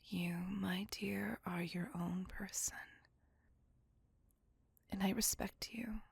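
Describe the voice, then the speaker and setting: A woman playing a courteous, doting, possessive vampire in a hushed, breathy ASMR roleplay, speaking gently in formal, courtly phrases. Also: medium pitch, fairly even intonation, slow pace, no echo, heavily acted. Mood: earnest, reassuring. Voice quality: breathy, hushed near-whisper.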